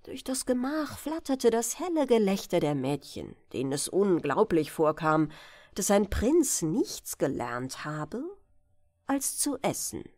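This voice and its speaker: Slow, expressive woman reading fairytales. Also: medium pitch, no room sound. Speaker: audiobook narrator.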